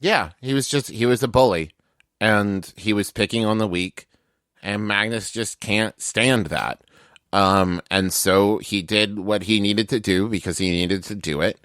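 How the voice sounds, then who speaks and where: A man's voice: on the low side, measured pace, fairly bright tone, dry recording, studio quality. A man who is a tabletop game player, improvising character backstory in a casual, explanatory, conversational way full of filler words.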